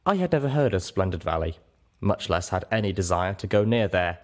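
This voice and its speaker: Fairly low-pitched man narrating fiction. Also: expressive, fairly quick. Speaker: story reader. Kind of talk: first-person fiction narration.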